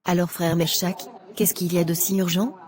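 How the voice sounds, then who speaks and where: Woman acting a blunt, low-voiced judgmental churchwoman. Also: little pitch movement, normal speed, a little mellow, natural voice. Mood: matter-of-fact. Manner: outspoken, scolding.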